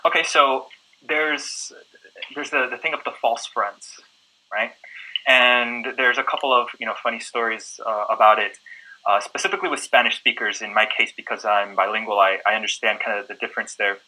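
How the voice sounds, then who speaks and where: A man with a Southern American accent, answering interview questions about English in a relaxed, chatty way, often checking in with "right?". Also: mid-range voice, moderate pace, low-quality recording. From southern United States. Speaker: guest English teacher.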